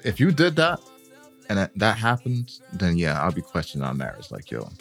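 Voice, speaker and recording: Deep-voiced laid-back man co-host. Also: wildly swooping pitch, normal speed, vocal fry, a bit bright, soft background music. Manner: laid-back, joking, slangy. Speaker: podcast co-host. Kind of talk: casual chat.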